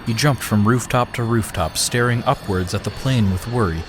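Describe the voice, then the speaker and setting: A man narrating an action story in a steady, dramatic storytelling manner. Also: on the low side, moderate pace, noisy background. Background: music.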